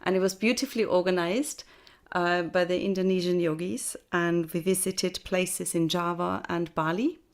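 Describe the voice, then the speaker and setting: A woman's voice: lowish voice, steady tone, normal speed. A woman from Austria speaking on a video call as a guest speaker, chatty and laughing often. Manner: chatty, laughs often, restarts mid-phrase. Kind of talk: casual spoken reflection. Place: home in Texas, early morning.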